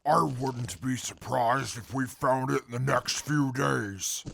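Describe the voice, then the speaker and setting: A man voicing an irritable, menacing wasteland fighter in an audio drama, raspy and scornful, with formal wording. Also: medium pitch, slow pace, fairly bright tone, heavily acted. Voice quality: raspy, gravelly. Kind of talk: post-apocalyptic audio drama acting.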